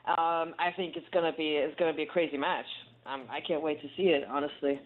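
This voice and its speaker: A woman, a German native speaker and professional wrestler, chatting as a guest in an animated, playful way with laughs. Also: sits low, fairly quick. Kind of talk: casual phone interview. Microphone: phone. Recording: tinny phone line.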